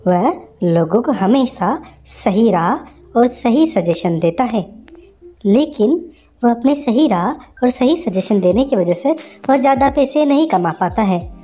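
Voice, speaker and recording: A woman narrating a children's moral story in a slow, simple storytelling manner. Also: on the high side, animated, dark tone, soft background music.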